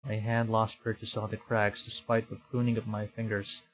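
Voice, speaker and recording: A man from the Philippines streaming a game, thinking aloud in a halting way and reading story text aloud. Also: lowish voice, monotone, a little hiss or hum, rough recording. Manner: halting, thinking aloud, stumbling over read lines. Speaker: VTuber streamer. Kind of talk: live game playthrough commentary, reading visual novel text aloud. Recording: background game music. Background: game music.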